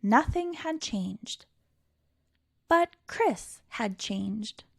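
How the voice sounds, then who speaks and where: Woman, children's storytime reader, slow pace, slightly high voice, theatrical delivery, dramatic pauses, dry sound. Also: lively intonation, gravelly, breathy edge, no echo. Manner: theatrical, with dramatic pauses, stretched words and hushed emphasis. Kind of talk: expressive read-aloud of a children's picture book.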